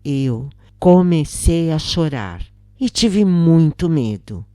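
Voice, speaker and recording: A Brazilian woman narrates a scripted story at a slow, measured pace. Her voice is low and mellow, captured close and dry with hardly any room around it. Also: animated, dry recording. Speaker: narrator reading a spiritist story.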